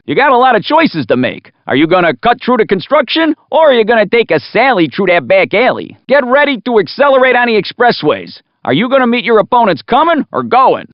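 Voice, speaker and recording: A man's voice: high-pitched, dry recording. A man voicing a brash, wisecracking American race announcer in a video game, with a folksy, punchy showman delivery. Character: brash, wisecracking, excitable male city radio race announcer. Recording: slightly dull lo-fi game audio.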